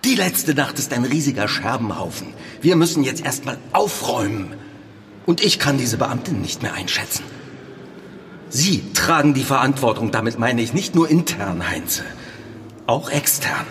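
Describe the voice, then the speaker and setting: A stern police superior in a naturalistic audio drama. He is brusque, irritated and quick to accuse, with a mid-pitched male voice. The room rings with reverb and there is clear noise behind him. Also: sing-song, a bit bright. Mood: angry, accusing. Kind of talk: naturalistic fiction podcast acting in German.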